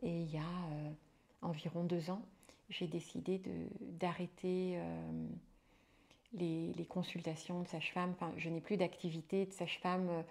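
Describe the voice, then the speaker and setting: Guest, woman, somewhat low voice, halting and conversational. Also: level tone, creaky, a little mellow. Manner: hesitant, many fillers and restarts. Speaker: hypnotherapist and midwife, guest. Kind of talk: casual conversational interview.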